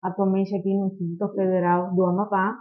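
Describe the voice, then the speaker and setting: A woman from Cuba with a Cuban Spanish accent, a chemistry professor giving measured, explanatory answers full of small fillers as a podcast guest. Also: mid-range voice, level tone. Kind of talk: podcast interview. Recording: tinny phone-like line.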